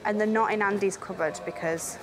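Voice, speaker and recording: A woman's voice: medium pitch, steady tone, brisk, crowd noise. A young woman with an English accent, chatting upbeat and laughing easily while setting up an event at a station. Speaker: railway events organiser. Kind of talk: casual on-location chat. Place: outdoor railway station platform.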